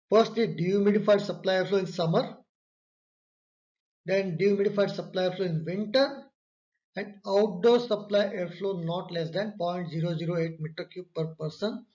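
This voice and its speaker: A man's voice: sits high, darkish tone. A man explains slides in an online course. He talks through each point and repeats key phrases for emphasis. The recording is slightly dull and lo-fi. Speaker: instructor. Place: indoor room, webcam overlay on slides.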